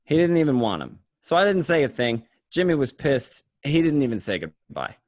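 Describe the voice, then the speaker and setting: A man's voice: on the high side, very animated, moderate pace, darkish tone, no echo. A man playing a paranoid, streetwise gangster narrating his day in a radio play, talking in a coarse, conversational way. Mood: matter-of-fact recounting. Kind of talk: first-person crime narration in a radio play.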